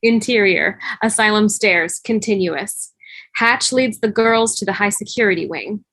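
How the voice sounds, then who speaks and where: A woman narrates scene headings and action from a script. Her voice sits at a medium pitch and is recorded dry, with no room sound. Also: a little slow, fairly bright tone, no echo, poor audio. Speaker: narrator reading stage directions.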